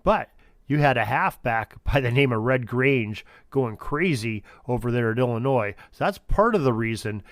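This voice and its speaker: A man's voice: mid-range voice, animated, moderate pace, no room sound, studio quality. A man hosting a sports history podcast, talking informally in a rambling, folksy way. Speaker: podcast host.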